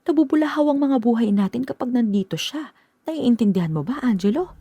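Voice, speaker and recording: A woman's voice: a little high, lively intonation, a little fast, mellow, husky, no room sound. An actress playing a tired middle-aged mother who complains about an extra person in the house, in a radio drama. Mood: complaining. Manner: complaining, weary. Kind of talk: Filipino radio drama acting.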